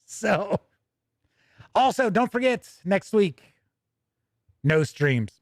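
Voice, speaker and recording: A man speaks as a livestream host in a fairly high register. His delivery is conversational and loose, wandering and doubling back as he chats with viewers. The sound is very dry, with no room echo around the voice. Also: very animated, drawn-out words. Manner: rambling, conversational, self-interrupting. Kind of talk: casual livestream chat, answering viewer questions.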